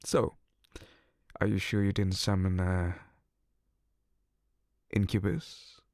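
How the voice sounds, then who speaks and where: Male VA as a sarcastic incubus demon for ASMR audio, slow and gravelly, dry close mic. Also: low voice, flat delivery, darkish tone, no room sound. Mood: sarcastic, exasperated. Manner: theatrical, sarcastic and exasperated. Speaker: ASMR roleplay voice actor.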